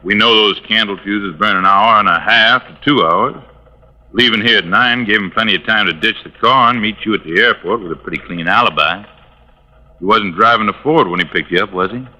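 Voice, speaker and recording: A plainspoken American police lieutenant in a vintage radio drama. The voice is lowish and strikingly hard-edged. He lays things out firmly, with a folksy, suspicious streak. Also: a little fast, bright, forceful tone, low-quality recording. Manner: folksy, firm, procedural. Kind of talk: 1950s radio drama acting. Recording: old-time radio recording.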